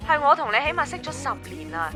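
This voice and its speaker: An actress playing a sharp-tongued, sarcastic young flat-owner with a Hong Kong accent in a radio drama. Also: female voice, sits high, quick pace, faint music behind, exaggerated voice. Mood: exasperated, sarcastic. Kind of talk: Cantonese radio drama acting.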